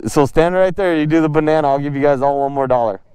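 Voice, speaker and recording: Laid-back young man, mellow voice. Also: on the high side, quick pace, smooth, no room sound. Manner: laid-back, joking, laughs often. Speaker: motovlogger. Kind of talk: casual vlog chat. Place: outdoors on a residential street. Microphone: helmet-mounted action camera.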